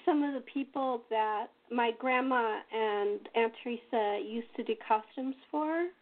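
Woman, from California, slightly high pitch, casual anecdotal chat, halting storytelling, thin telephone-like audio. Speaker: radio playwright in a cast chat. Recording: thin phone-like call audio.

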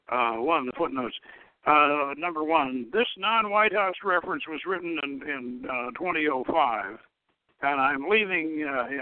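A man hosting an informal radio talk, speaking in a halting, rambling way and often repeating his words as he explains. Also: fairly high pitch, dry recording. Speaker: show host and author. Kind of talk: dramatic reading of own novel. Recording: narrow phone-like radio line.

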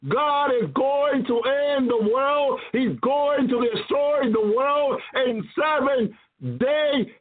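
Male preacher, very high pitch, fervent repetitive exhortation, tinny phone-like radio audio. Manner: emphatic, repetitive, exclaiming preacher's delivery. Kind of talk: fervent apocalyptic sermon. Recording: thin phone-like radio sound.

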